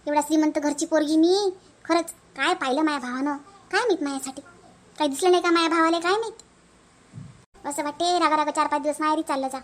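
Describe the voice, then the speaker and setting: A woman's voice: high-pitched, natural voice. A woman voicing a put-upon young village daughter-in-law in a cartoon, with a Varhadi Marathi accent and plaintive, grumbling delivery. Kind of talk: animated family comedy dialogue.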